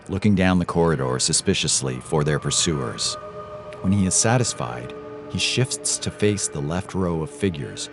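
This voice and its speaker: Extremely deep-voiced male story narrator. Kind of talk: dramatic scripted sci-fi narration. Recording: music clearly underneath. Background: music.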